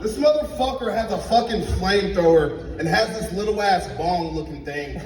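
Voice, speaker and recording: A young man from Liberia performing a stand-up comedy set in a club, telling animated stories and acting out both sides of the dialogue. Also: high-pitched, normal speed, a crowd in the background. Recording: echoey club sound from a distance. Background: audience laughter.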